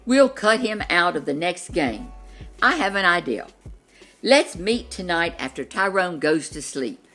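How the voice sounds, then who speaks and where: Plucky male storybook dinosaur, indignant and emphatic, acted by a woman narrator, lively pitch swings, music behind. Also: measured pace, heavily acted. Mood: fed up. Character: indignant young male dinosaur, fed up with cheating.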